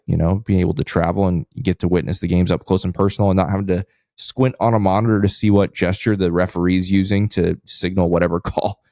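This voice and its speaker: An American man co-hosts a casual sports podcast in a low voice with plenty of creak. His tone is on the mellow side, and the recording is dry and close. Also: no echo.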